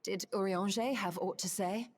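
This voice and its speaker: A woman playing a composed, resolute sorceress and scholar in a fantasy video game, measured and formal. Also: mid-range voice, lightly acted. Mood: grave, analytical. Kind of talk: dramatic video game acting. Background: game music.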